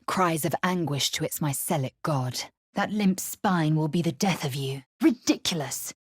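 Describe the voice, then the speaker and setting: A woman playing a fierce, haughty alien warrior in a video game, with a husky, raspy voice and clipped, imperious, formal delivery. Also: low-pitched, expressive, normal speed, dry recording, studio quality. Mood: imperious, scornful. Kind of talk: video game character acting.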